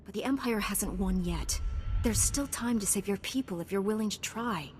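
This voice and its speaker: Jedi knight role, video game: female actor, medium pitch, composed, firm, dry, roomy reverb. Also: normal speed, lightly acted. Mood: calm, resolute. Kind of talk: video game cutscene acting. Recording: reverberant game audio, faint music.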